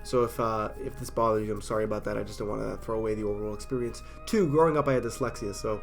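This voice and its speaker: A man's voice: fairly low pitch, steady tone, rapid-fire, creaky, faint music behind. A man with a stuffy cold, casually reading horror stories aloud in a chatty, self-deprecating way and sometimes stumbling over words. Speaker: storyteller.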